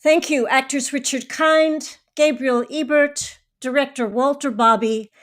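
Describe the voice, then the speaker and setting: A woman's voice: high voice, fairly even intonation, a little slow. A woman hosting a public radio program, speaking as an interviewer and presenter. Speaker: radio host.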